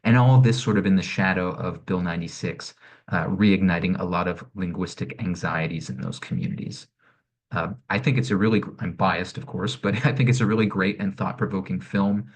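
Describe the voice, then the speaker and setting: Low-voiced man presenting an online session. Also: fairly even intonation. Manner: measured, explanatory, frequent "um" and "sort of". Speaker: director of programming presenting an arts network. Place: home or office, online session. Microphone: computer mic on a video call.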